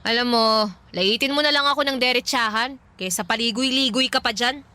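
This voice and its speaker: A woman's voice: fairly high pitch, fairly quick, bright, forceful tone. An actress playing a touchy young tomboy turned girly in a radio drama, pouty and fishing for approval. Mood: pouty, irritated.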